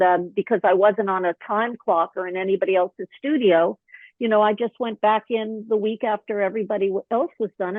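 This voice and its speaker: A slightly hoarse woman chatting as an interview guest, telling stories animatedly with lots of fillers. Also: mid-range voice, no echo. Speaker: podcast guest, author and actor. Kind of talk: casual interview chat. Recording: dry, thin phone-line sound.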